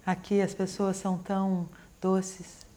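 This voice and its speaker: A woman interpreting consecutively, with an even, steady, unemotional delivery. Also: mid-range voice, measured pace.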